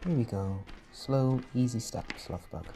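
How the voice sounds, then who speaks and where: A man playing a gentle, protective caretaker in a roleplay comfort audio, speaking in a soft, reassuring, tender way. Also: medium pitch, a little slow, lightly acted. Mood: tender, soothing. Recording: music and party chatter behind.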